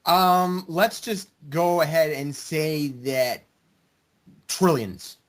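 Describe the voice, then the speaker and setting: A man in casual group chat with a drawn-out, mock-pedantic way of talking. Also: fairly high pitch.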